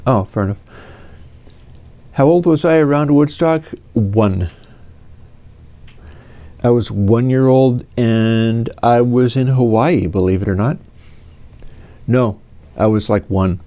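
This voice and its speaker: A man's voice: medium pitch, slow, pauses often, warm tone. A man in his fifties from the United States, answering viewer questions in a casual, rambling way with many fillers and restarts. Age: 50s.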